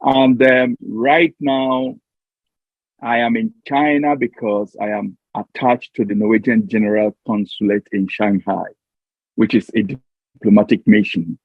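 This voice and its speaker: A middle-aged man from Nigeria, answering interview questions over a remote link in a halting, deliberate way. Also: lowish voice, steady tone, no echo. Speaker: interview guest, diplomatic mission staffer. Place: remote call into a studio. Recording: tinny phone-like remote call.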